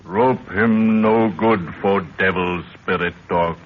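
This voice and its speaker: An actor playing a big, stoic African warrior and servant who speaks terse, solemn pidgin English in an old radio adventure. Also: male voice, deep voice, fairly even intonation, slow, a little hiss or hum. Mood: solemn, obedient. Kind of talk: 1930s radio adventure acting. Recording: muffled narrowband 1930s radio recording.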